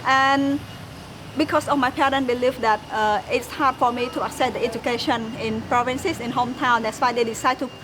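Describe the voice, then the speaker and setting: A woman's voice: fairly high pitch, steady tone, normal speed, background noise. A woman in her thirties with a Khmer accent, speaking earnestly and carefully in a documentary interview. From Cambodia. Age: 30s. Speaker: former student interviewee.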